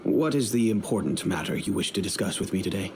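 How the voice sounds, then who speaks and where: An actor playing a grave middle-aged father and head of a noble family, speaking in a measured, solemn, storytelling way over game music. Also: low voice, lively intonation, a little hiss or hum.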